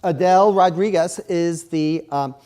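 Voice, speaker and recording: Low-voiced woman interviewing an author. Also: measured pace, dark tone. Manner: friendly, short prompting questions. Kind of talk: interview questions.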